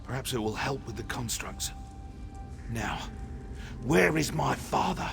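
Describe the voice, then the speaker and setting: An actor playing a bitter, vengeful rebel commander in a fantasy video game, speaking in a theatrical, impassioned way. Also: male voice, medium pitch, expressive, a little slow, vocal fry, over music. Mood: subdued, resigned. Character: bitter, vengeful male rebel commander grieving his father.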